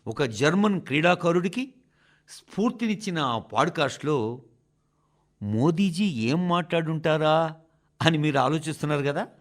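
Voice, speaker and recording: Expressive, high-pitched man reading an address. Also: moderate pace, long pauses. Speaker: radio reader of a translated national address. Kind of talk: scripted broadcast narration, oratorical address.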